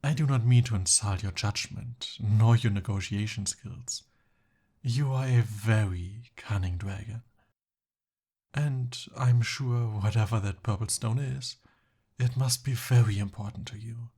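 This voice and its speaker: A man plays a young prince held captive by a dragon, speaking in a formal, courtly way. His delivery is unhurried and a little hesitant, with a soft husk to the voice. He is smooth-talking and eager, in the style of an ASMR audio roleplay. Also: on the low side. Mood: courtly persuasion.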